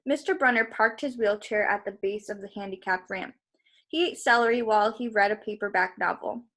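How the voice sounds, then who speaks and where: A woman's voice: mid-range voice, brisk, fairly bright tone. A woman reading a story aloud expressively, with informal asides to her listeners. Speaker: storybook reader. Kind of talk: read-aloud narration with casual asides to listeners.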